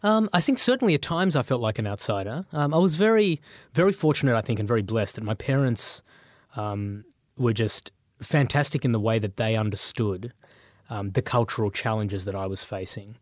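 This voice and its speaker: A man born in Sri Lanka and raised in Australia, speaking as an interview guest. He talks reflectively and thoughtfully, often pausing with um and uh. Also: medium pitch, no echo, clean studio sound. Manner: thoughtful, with frequent um and uh.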